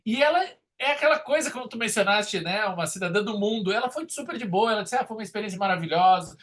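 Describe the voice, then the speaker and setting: A man's voice: high-pitched, expressive, fast talker, fairly bright tone. Emphatic southern Brazilian man chatting film. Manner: emphatic, colloquial storytelling. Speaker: film podcast host. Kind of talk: casual, opinionated film discussion.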